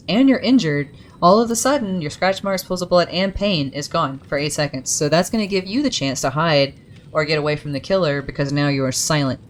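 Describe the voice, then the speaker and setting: Low, casual woman gamer reading lore, chatty asides, faint rumble underneath. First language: English. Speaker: gaming video creator.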